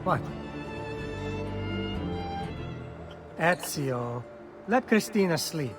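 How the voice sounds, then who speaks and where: An actor playing a teasing, carefree young Italian older brother in a video game, with an Italian accent. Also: male voice, a little high, animated, slow pace, faint music behind. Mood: fond. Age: young adult. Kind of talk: video game cutscene acting.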